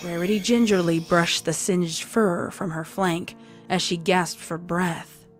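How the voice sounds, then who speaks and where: A woman's voice: fairly low pitch, steady tone, measured pace, soft background music. A woman reading a scripted story as a dramatic narrator.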